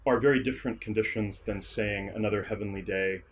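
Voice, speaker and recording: A man speaking as an interview guest, giving measured, articulate analysis as a theatre scholar and director. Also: on the low side, fairly even intonation, slight background noise. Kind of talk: thoughtful analytical interview talk.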